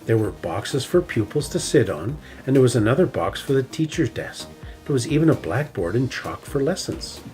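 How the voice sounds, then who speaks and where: A man reads a children's picture book aloud, his voice at a middle pitch but rising and falling with lively expression. Soft music plays faintly underneath. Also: moderate pace. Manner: expressive, animated narration. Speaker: storybook reader. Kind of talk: children's story read-aloud.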